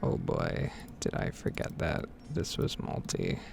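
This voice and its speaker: A man giving hesitant, rambling game commentary in a soft-spoken, whispered ASMR style. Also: a little slow, background noise. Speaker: gaming video host.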